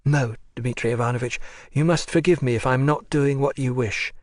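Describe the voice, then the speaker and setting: A man reading the part of a resigned, emotional young woman prisoner in an audiobook, his voice a touch raised and soft. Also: medium pitch, steady tone, normal speed, no echo, clean studio sound, natural voice. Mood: earnest.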